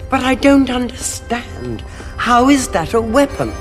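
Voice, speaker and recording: A woman's voice: on the high side, very animated, a little slow, music in the background. A woman playing a sceptical, hard-headed soldier, questioning and insistent, in a sci-fi audio drama. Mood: bewildered.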